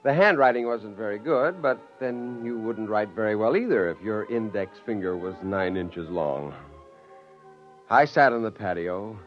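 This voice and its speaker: A man's voice: on the low side, lively intonation, a little slow, soft background music. An actor playing a wry father and scientist narrating his tale in a 1950s radio drama, on a muffled vintage recording. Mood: sober, wry.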